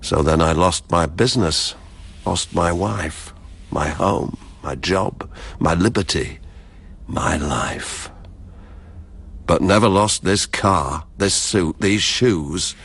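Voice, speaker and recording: An actor playing a proud, broke gambler and former security man in a verse radio drama, speaking with measured, boastful defiance. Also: sits low, gravelly, fairly bright tone, slightly rough, heavily acted. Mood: bleak regret, then proud, insistent.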